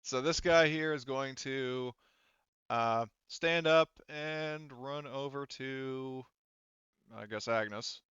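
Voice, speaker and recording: A man narrating a tabletop game as game master, casually and haltingly, with some swearing. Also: mid-range voice, a bit bright, clear, resonant tone, dry recording, lo-fi sound. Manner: halting, profane.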